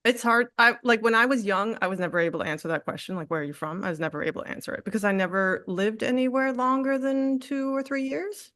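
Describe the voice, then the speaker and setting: A woman's voice: fairly high pitch, fairly even intonation, fast, few pauses, no echo. Reflective woman, dry close sound. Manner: reflective, many fillers and restarts, soft laughs. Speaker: artist guest. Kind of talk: candid conversational interview.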